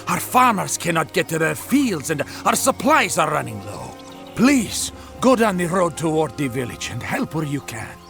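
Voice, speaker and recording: An actor playing an anxious ancient villager in a video game, urgent and declamatory. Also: male voice, on the high side, big pitch swings, normal speed, gravelly, a bit bright, soft background music, full character voice. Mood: urgent, pleading. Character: anxious ancient Greek villager calling for help. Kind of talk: video game character dialogue.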